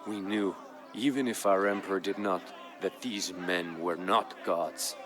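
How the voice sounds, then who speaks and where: A man playing a proud, grave Aztec jaguar warrior who solemnly recounts his people's fall as a strategy game's campaign narrator, in a measured, dramatic way. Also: deep voice, fairly even intonation, fairly bright tone, a little hiss or hum. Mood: grave, brooding. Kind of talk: solemn scripted storytelling narration for a strategy game.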